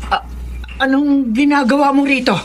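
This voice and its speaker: An actress playing a bossy household woman in a Filipino radio drama, with a drawn-out, forceful way of calling. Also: high voice, fairly even intonation, slight background noise, natural voice. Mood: bossy, startled.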